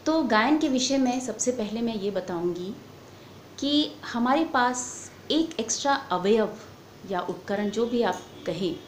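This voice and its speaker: A woman's voice: on the high side, animated, measured pace. Echoey woman teaching. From India. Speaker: music lecturer, vocal teacher. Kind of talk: instructional lecture on singing. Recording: echoey room with faint background noise.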